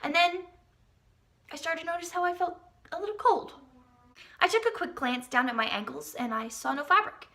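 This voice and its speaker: A young woman tells a personal story as a vlogger. Her voice sits high and has a bright, forward edge. The delivery is theatrical and emphatic, built for comedic storytelling. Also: lively intonation, normal speed, long pauses. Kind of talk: dramatic comedic storytelling to camera.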